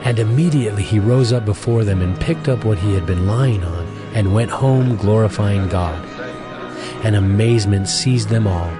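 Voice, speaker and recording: A man's voice: lowish voice, normal speed. A man narrating a dramatized Bible reading from a script. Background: music.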